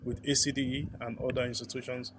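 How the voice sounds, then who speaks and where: A man's voice: medium pitch, little pitch movement, fast talker. Man speaking over faint background noise. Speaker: official speaking about development. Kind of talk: explaining organisational aims. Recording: light background ambient noise.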